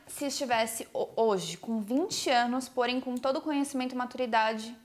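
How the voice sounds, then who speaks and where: High-voiced woman asking questions. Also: moderate pace. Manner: reads questions steadily, adds short comments. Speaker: interviewer reading questions. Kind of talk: casual interview chat.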